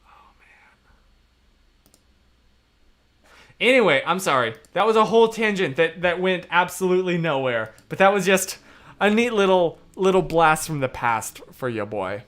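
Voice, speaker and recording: A hoarse young man hosting a livestream, chatting casually and rambling off topic with easy laughs. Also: high voice, animated, a little slow, long pauses, a bit bright. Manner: rambling, loses his train of thought; laughs easily. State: hoarse, voice worn out. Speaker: livestream host. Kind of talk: casual livestream chat, answering comments.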